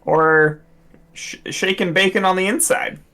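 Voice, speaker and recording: A man with a slightly high voice playing a tabletop game. He is casual and eager, quick to jump in with questions about rules. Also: sharp tone. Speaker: player.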